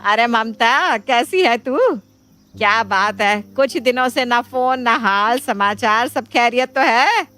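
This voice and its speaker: A woman voicing an eager, trusting middle-aged mother in a dramatised moral story, chatty and expressive. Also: fairly high pitch, slow pace. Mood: friendly, curious.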